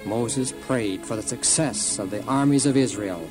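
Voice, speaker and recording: A man tells a scripted children's story with deliberate, dramatic delivery. His voice is of middling pitch, and music plays clearly behind him. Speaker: narrator. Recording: music under narration.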